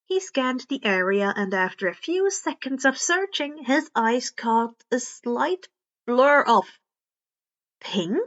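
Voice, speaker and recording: A woman reading a story aloud as an expressive narrator. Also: fairly high pitch, slow pace, highs cut off. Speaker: podfic narrator reading fan fiction.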